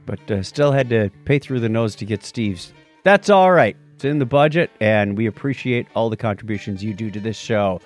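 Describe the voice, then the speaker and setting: Animated, jokey male podcast host. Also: on the low side, normal speed, soft background music. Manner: jokey, playful. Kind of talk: casual chat, listener shout-outs.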